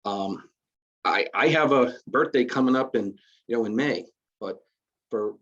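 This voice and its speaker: A man's voice: mid-range voice, measured pace, a bit bright, muffled recording. A man, walking through retirement rules on a video call, conversational and full of restarts. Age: 50s. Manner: conversational, many restarts and fillers, explains step by step. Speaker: retirement system administrator. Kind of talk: casual explanatory presentation. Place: virtual benefits fair call.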